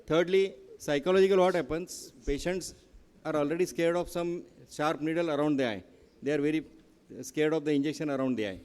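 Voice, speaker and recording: A man's voice: fairly high pitch, brisk, a little mellow, clean tone, long reverb tail. A man, an eye surgeon explaining his technique earnestly on a conference panel. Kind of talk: panel discussion. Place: conference hall.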